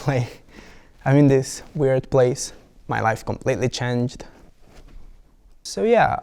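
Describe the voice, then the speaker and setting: A man's voice: medium pitch, darkish tone. A young man with a Brazilian accent, rambling playfully with many restarts as he talks to camera. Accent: Brazilian Portuguese. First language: Portuguese. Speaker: vlogger. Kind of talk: casual talk to camera.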